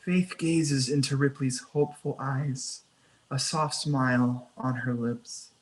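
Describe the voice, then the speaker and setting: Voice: medium pitch, fairly even intonation, slow pace, low-quality recording. A narrator reading scene headings and action lines at a screenplay table read. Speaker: narrator reading stage directions.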